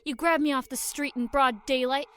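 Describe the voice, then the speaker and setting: A woman's voice: sits high, steady tone, normal speed, fairly bright tone. An actress playing a spirited, challenging young woman in her early twenties in an audio drama. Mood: defiant. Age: young adult. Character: defiant, inquisitive young woman of twenty-two.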